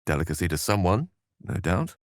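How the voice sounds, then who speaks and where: Casting: wry, bookish wizard for a fantasy game; lowish pitch, very expressive range, articulate, theatrical, recorded dry. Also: male voice, brisk, no room sound. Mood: dry amusement. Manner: articulate, theatrical, verbose phrasing. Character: eloquent, wry, scholarly male wizard adventurer. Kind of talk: fantasy video game voice acting.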